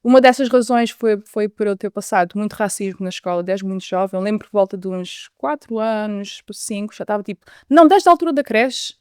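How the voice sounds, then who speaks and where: A woman's voice: mid-range voice, a little fast, few pauses, darkish tone, smooth. A woman in her thirties with a European Portuguese accent tells her own story, earnest and reflective. Her voice sits mid-range, and the recording is close and dry with no room echo. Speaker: interview guest. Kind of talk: personal life story interview.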